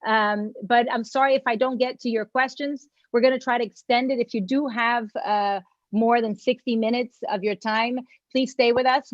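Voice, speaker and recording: A woman's voice: a little high, no room sound. A woman who grew up in Mexico, moderating and opening a virtual panel with effusive, gracious thanks to her guests. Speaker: panel moderator and agency founder. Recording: dry, slightly dull video call audio.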